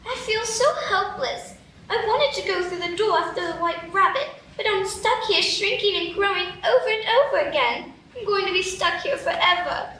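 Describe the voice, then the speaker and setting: Woman doing a prim curious little girl, super high voice, echoey room and far from the mic. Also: lively intonation, normal speed, pushed and bright, slight background noise, full character voice. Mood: despairing. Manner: wondering, earnest and prim. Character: curious, polite young girl lost in a strange land. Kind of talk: live radio play acting. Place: live stage.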